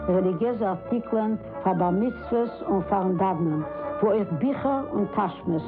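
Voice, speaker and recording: A woman reading a radio advertisement, spelling out the phone number slowly and clearly. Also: medium pitch. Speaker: ad reader. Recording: tinny phone-like audio with music.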